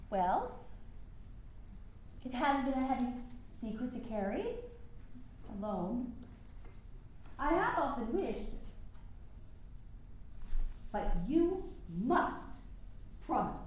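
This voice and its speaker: A woman's voice: high-pitched, sing-song, takes it slowly, pauses often, darkish tone, heavily acted. A woman acting a resolute, confiding mother and suffrage campaigner in a staged period drama, speaking earnestly in formal phrasing. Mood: hesitant, secretive. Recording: very echoey room with faint noise.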